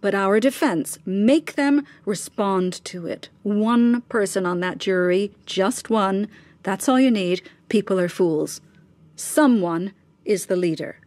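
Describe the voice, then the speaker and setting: A woman's voice: mid-range voice, takes it slowly, a little mellow, no room sound, natural voice. Ruthless, scornful mother in a dry radio drama, played by an actress. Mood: cold, calculating. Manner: insistent, scornful, clipped rhetorical questions. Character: ruthless, scheming middle-aged mother fiercely defending her son.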